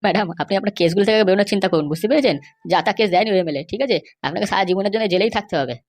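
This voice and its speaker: A woman's voice: mid-range voice, very fast, lightly acted. A woman voicing a sly, insistent male schemer and constable in an amateur comedy cartoon. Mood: mocking, wheedling.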